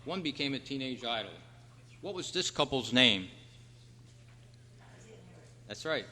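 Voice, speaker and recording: A man hosting a trivia quiz at a club meeting, reading out questions in a measured, announcing way. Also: medium pitch, lively intonation, bright, forceful tone. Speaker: quiz host. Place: hall at a convention club meeting. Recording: reverberant hall, clear background noise and hum.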